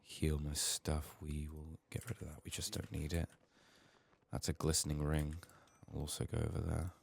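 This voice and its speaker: A man with a sick, strained voice murmuring soft-spoken ASMR gaming commentary, sometimes near a whisper, thinking aloud close to the mic. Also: completely flat delivery, normal speed. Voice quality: husky, gravelly, very low. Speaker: game streamer making a playthrough.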